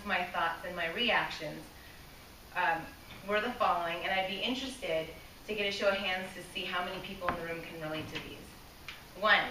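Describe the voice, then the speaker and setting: Bright-voiced woman giving a speech in an echoey room. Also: mid-range voice. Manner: earnest, asks the audience questions, small laughs, loses her place and restarts. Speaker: club speaker. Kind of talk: prepared public speech to a live room. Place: meeting room with an audience. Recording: echoey room from a distance, faint hiss.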